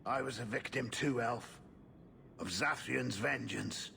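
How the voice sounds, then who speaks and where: An actor playing a weary, remorseful man in a video game, speaking in a measured, apologetic way. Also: medium pitch, gravelly, sharp tone, slight background noise, heavily acted. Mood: weary, earnest. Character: remorseful former werewolf, weary human man. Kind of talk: dramatic video game acting.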